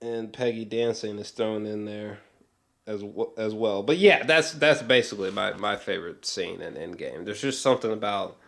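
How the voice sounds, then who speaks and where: A man giving a casual, rambling film review as an excited fan, swearing freely, often hesitating and repeating himself for emphasis. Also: fairly low pitch, moderate pace, gravelly.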